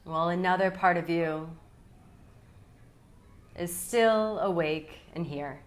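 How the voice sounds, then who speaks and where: A woman guides a relaxation meditation in a slightly low voice. She speaks very slowly and calmly, leaving long pauses between soothing phrases. Her delivery is unhurried, as a yoga nidra teacher would give it.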